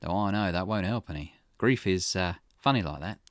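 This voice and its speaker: A man acts out a wry game detective in a low voice that swings expressively. He is dry and skeptical, a plain talker who likes to question. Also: animated, normal speed, no echo. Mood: sympathetic, firm. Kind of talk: character voice reading visual novel dialogue.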